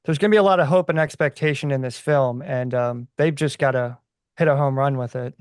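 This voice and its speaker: A man's voice: mid-range voice, fairly quick, a little mellow, clean tone, dry recording, clean studio sound. A man speaking as a podcast guest in casual, conversational discussion.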